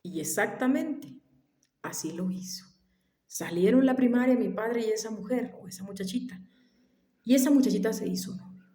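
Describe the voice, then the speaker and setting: A woman telling a family story in a casual, unhurried way, halting now and then and dropping into soft whispered asides. She mentions she has allergies. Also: fairly high pitch. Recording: echoey room.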